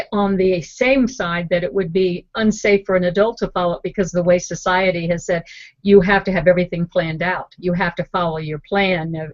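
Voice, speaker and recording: A woman asking measured, inquisitive questions on an online panel call. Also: on the low side, a little mellow, no room sound. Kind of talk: casual online panel chat. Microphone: video call. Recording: dry, dull video call audio.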